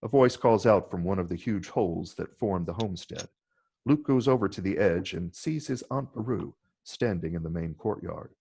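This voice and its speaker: A man's voice: deep voice, lively intonation, normal speed, vocal fry, a little mellow, breathy edge. A man narrating scripted screenplay stage directions for a table read.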